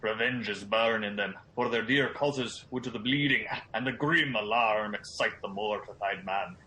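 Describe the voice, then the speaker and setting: A man acting a vengeful warrior lord in a Shakespearean radio play. His voice is fairly low, sharp and forceful, over clear background noise. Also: normal speed. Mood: urgent, grim. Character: forceful, vengeful rebel military nobleman. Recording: noisy recording with ambience.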